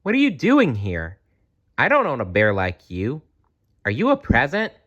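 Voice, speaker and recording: A man's voice: mid-range voice, wildly swooping pitch, normal speed, fairly bright tone, muffled recording, pitched above the performer's own voice. A man voicing a mopey, plaintive young schoolboy in a children's storybook. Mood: amazed.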